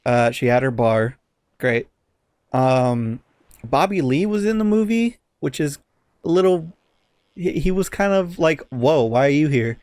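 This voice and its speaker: A man's voice: fairly high pitch, lively intonation, a little slow, a little mellow, no echo, studio quality. A man co-hosting a podcast, giving a casual, exasperated and profanity-laced movie review. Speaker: podcast co-host.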